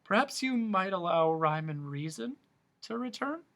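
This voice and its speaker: A polite, puzzled young boy with a soft, raised, bright voice, as a storyteller puts him on. He questions gently and sounds a little lost in a storybook reading. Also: high voice, sing-song, measured pace, voice pushed up, exaggerated voice.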